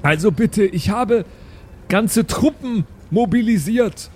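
High-voiced man playing a tabletop game. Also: slow pace, breathy edge. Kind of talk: casual improvised role-play chat.

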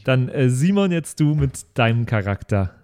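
A man running a tabletop role-play podcast as game master, teasing his players in quick banter. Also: medium pitch, big pitch swings, darkish tone, slight background noise.

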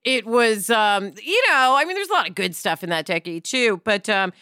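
Middle-aged woman on a podcast, chatty and lively, clear smooth voice, dry sound. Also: medium pitch, moderate pace, no room sound. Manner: animated, chatty, lots of asides. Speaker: podcast co-host. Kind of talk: casual podcast discussion.

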